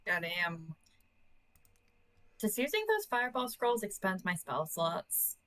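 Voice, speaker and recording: A woman's voice: mid-range voice, huge pitch swings, takes it slowly, a bit bright, a little hiss or hum, low-quality recording. A woman playing a tabletop game, talking casually and swearing now and then. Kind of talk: casual chat.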